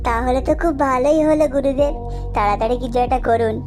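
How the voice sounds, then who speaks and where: Someone voicing an eager pert village kid, high voice, music behind, cartoon. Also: fast, lightly acted. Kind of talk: Bengali cartoon acting.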